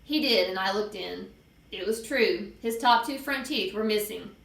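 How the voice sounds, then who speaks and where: A woman reading a children's book aloud as an expressive storyteller. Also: mid-range voice, normal speed, vocal fry, roomy sound.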